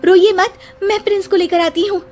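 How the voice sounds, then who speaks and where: A woman's voice: extremely high pitch, steady tone, fairly quick, a little mellow, a little hiss or hum, voice pushed up. A woman voicing a short, spirited, self-assured young daughter-in-law in a moral-story cartoon, speaking in an emphatic, outspoken manner. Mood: urgent, reassuring.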